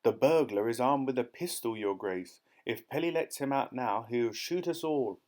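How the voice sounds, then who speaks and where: A man voicing an excitable giraffe cheering on a pelican. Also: medium pitch, moderate pace, lightly acted. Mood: urgent.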